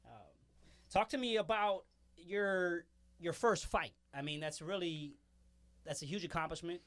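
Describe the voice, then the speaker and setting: A man's voice: fairly high pitch, fairly even intonation, a little slow, a bit bright. A man from New York hosting an interview, asking friendly, encouraging questions in a conversational way. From born in New York, grew up in Western New York. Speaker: interview host.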